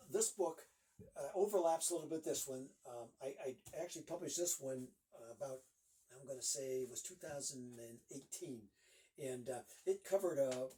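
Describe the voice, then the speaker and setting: A man's voice: mid-range voice, warm tone, distant mic, low-quality recording. A man in his sixties from Northeast Ohio, a guest author chatting casually in an interview and rambling with lots of fillers.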